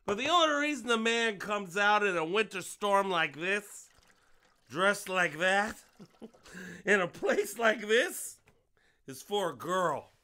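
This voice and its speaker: An actor playing a chummy, prying drunk at a bar, with grandiloquent, rambling, slurred speech in an audio drama. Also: male voice, high-pitched, lively intonation, slow pace, bright, forceful tone, exaggerated voice. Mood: boisterous, needling. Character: tipsy middle-of-the-night barfly, chummy, prying, grandiloquent.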